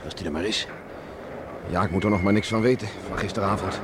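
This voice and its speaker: An actor playing a gruff, stubborn middle-aged island beachcomber and father in a Dutch radio play, muttering to himself and calling out. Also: lowish voice, brisk, lightly acted. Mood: brooding, muttering. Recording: noisy old radio play with storm ambience.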